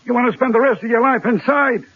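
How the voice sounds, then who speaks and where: An actor playing a wary, reluctant gangster henchman in a radio drama, raspy and colloquial with street-tough diction. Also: very high-pitched, fast talker. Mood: wary, skeptical. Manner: cautious, colloquial. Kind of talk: 1970s radio drama acting. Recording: thin, tinny old radio broadcast.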